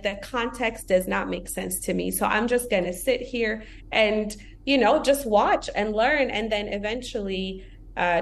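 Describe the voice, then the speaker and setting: A woman's voice: on the high side, a little hiss or hum. A young woman from Ethiopia speaking as a podcast guest, reflective and conversational, filling her talk with "you know" and "like". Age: young adult. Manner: reflective, uses many fillers like "you know" and "like".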